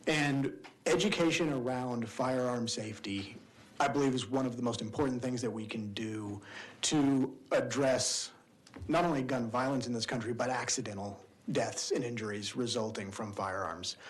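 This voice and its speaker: A man's voice: medium pitch, moderate pace. A man testifying before a legislative committee as a policy advocate, speaking steadily and earnestly and weaving in a personal story. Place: committee hearing room.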